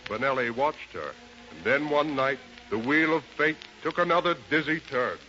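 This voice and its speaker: Bright, portentous radio narrator. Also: male voice, mid-range voice, animated, soft background music. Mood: solemn, dramatic. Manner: portentous, measured. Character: solemn, dramatic male storyteller of a radio melodrama. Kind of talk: 1930s radio drama narration. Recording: dull 1930s radio recording.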